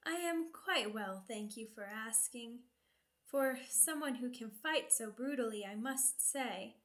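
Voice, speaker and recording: A woman's voice: on the high side, fairly bright tone. A woman playing a seductive, sadistic vampire noblewoman in an ASMR roleplay, speaking formally and teasingly, savoring each phrase. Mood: gracious, admiring.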